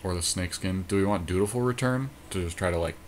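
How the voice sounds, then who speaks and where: Male podcast co-host, deep register, casual game commentary, thinking out loud, slightly noisy recording. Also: fast talker.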